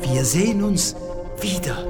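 An actor playing a solemn, commanding high priest in a radio play, speaking formally and ceremoniously. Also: male voice, lively intonation, unhurried. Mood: stern, inexorable. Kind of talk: theatrical opera radio play. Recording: long hall reverb, music behind.

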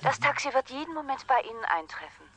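A woman acting a polite, businesslike caller in a German radio drama, crisp, formal and courteous. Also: sits high, big pitch swings, quick pace, bright, forceful tone, full character voice.

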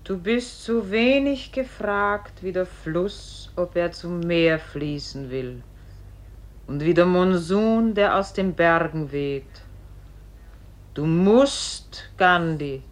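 An actress playing a solemn spirit who guides a dying soul, speaking in measured, incantatory phrases in a poetic radio play. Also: female voice, fairly low pitch. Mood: grave, unyielding.

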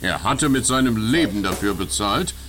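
A man's voice: lowish voice, animated, normal speed, music in the background, close to an everyday voice. A man playing a wry live reporter who hosts a spoof radio play, mixing English into his narration.

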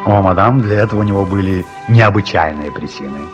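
A man playing a courtly writer who narrates his own tale in a radio play, measured, literary and gently ironic. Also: deep voice, muffled recording, over music, natural voice. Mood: gallant.

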